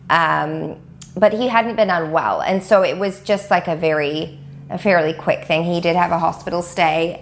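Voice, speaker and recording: A woman in her thirties talks casually to camera in a low, gravelly, husky voice, a little nasal from a recent cold. She rambles warmly with ums and restarts. Faint room ambience hums behind her. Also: slight background noise. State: recovering from a cold. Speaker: homeschool vlogger. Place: warm room at home full of books.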